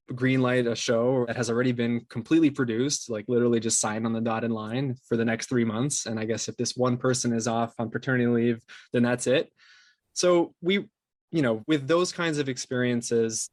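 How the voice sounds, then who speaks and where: A man being interviewed as a podcast producer, explaining things conversationally in a halting way with many restarts. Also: medium pitch, dry recording.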